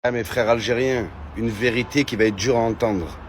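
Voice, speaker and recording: A man doing a casual comic rant, mocking and animated, now and then breaking into shouts. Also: mid-range voice, normal speed, a little hiss or hum. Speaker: comedian.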